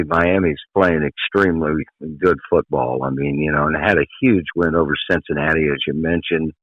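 A man with a very low voice gives conversational sports analysis as a radio guest. The line is slightly dull and lo-fi, with a dry, echo-free sound. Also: a bit bright. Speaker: radio commentator as interview guest.

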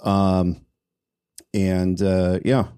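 A man with a fairly low voice talks casually as a podcast host. He sounds enthusiastic and keeps stammering and restarting his sentences as he works out his thoughts. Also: little pitch movement, drawn-out words, warm tone, smooth. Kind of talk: casual chat.

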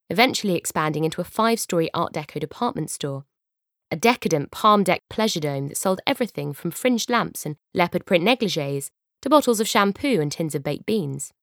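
A young British woman narrates a scripted audiobook. Her voice sits slightly low but moves widely and expressively, with wry asides. The sound is close and very dry, as in a studio booth. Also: no room sound. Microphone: close studio microphone.

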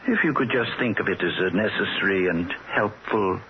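An actor playing a courteous, precise alien emissary in a 1960s radio drama, genteel and mildly condescending. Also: male voice, low-pitched, moderate pace, natural voice. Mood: politely patient. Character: polite, mild alien emissary bent on pruning humanity. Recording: dull, hissy 1960s radio recording.

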